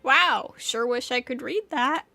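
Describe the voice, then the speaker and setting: A woman's voice: high voice, unhurried, gravelly, pushed and bright. A woman streaming a game, reacting casually and playfully as she chats along. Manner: playful, often chuckling. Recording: faint game music behind. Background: faint game music.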